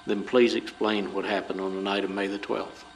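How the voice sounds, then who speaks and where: Male actor as stern police interrogator, lowish voice, formal procedural delivery, audio drama, faint background noise. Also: level tone, normal speed, close to an everyday voice. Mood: formal, probing. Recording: clearly noisy background.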